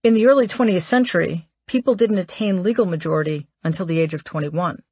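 A woman reading a memoir aloud as an audiobook narrator, in a measured, articulate way. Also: fairly low pitch, darkish tone, husky, no room sound.

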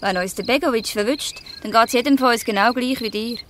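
A woman with a Swiss German accent playing a bold, bossy teenage girl gang leader in a radio play, brisk and commanding. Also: a little high, fairly bright tone, a little hiss or hum, lightly acted. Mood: matter-of-fact. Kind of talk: radio play acting in dialect.

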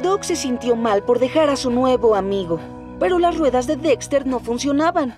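A woman's voice: high-pitched, moderate pace, music in the background. A woman with a Latin American accent narrating a children's story from a script. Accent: Latin American Spanish.

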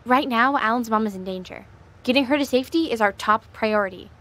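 A woman's voice: fairly high pitch, fairly quick, fairly bright tone, close to an everyday voice. A woman playing a composed alien fugitive who leads her crew in an audio drama. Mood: composed, urgent.

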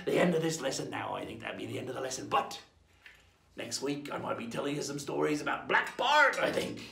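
A man's voice: a little high, sing-song, fairly quick, gravelly, sharp tone, full character voice. A man playing a jolly, boastful old pirate storyteller, rambling along in a folksy, theatrical way as he hosts a playful lesson. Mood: rowdy, jolly. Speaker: host of pirate-themed lessons. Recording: roomy echo.

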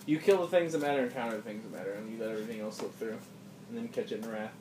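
A man's voice: fairly low pitch, very fast, background noise. Laid-back man, distant roomy phone audio. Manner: laid-back, chuckling, talks to viewers. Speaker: card game player and channel host. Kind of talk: casual play commentary. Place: indoor room at a game table.

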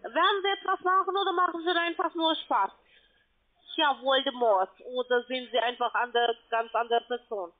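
A high-pitched woman with a foreign accent delivers a telephone sales pitch. She sounds like a call center agent, heard through a narrow, tinny phone connection. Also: normal speed. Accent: foreign, non-native German.

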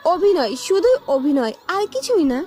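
A woman's voice: very high voice, very animated, moderate pace, dark tone. A woman voicing a money-hungry young girlfriend in an amateur audio love-story drama. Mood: cold, scornful. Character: scornful, money-hungry young girlfriend, later begging forgiveness.

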